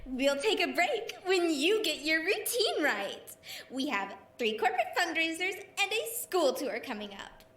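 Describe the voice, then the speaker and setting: An actress playing a bubbly, bossy, theatrical ghost dance director in an audio drama. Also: female voice, extremely high pitch, very animated, measured pace, fairly bright tone, echoey room, recorded from a distance, heavily acted. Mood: insistent. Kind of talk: scripted audio drama acting.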